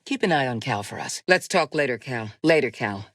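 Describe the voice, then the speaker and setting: A woman playing a wise, resolute Jedi mentor and resistance leader in a video game, speaking in a measured, solemn, commanding way. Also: extremely low pitch, expressive, fairly bright tone, dry recording, clean studio sound, exaggerated voice. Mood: calm, grave. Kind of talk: dramatic video game character acting.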